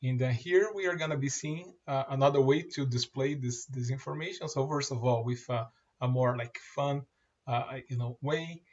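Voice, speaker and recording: Male presenter, native Portuguese speaker, demoing software, mid pitch, halting delivery, muffled recording. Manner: halting, many fillers and restarts. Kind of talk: screen-share product demo.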